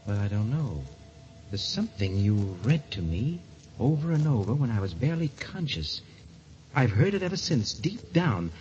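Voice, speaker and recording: An actor playing a tormented, obsessive newlywed professor on the edge of madness, halting and repeating himself in an old radio thriller style. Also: male voice, mid-range voice, very animated, a little mellow, lightly acted. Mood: brooding. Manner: halting, repetitive, anguished. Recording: muffled, hissy old radio recording.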